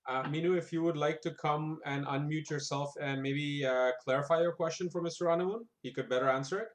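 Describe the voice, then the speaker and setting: A man's voice: on the high side, steady tone, a little fast. A man moderating an online talk, formally passing audience questions to the speaker. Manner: courteous, formal.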